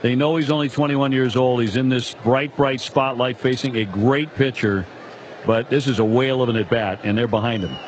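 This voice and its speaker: A man's voice: mid-range voice, moderate pace. A man giving conversational live commentary on a baseball broadcast. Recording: slightly dull audio, faint ballpark noise. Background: outdoor stadium ambience.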